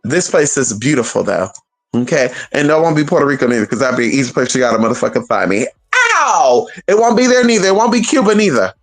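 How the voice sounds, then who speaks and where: A woman's voice: low voice, fast talker. A woman chatting casually on a livestream, sassy and profane, calling her viewers honey and girl. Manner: animated, talks straight to viewers.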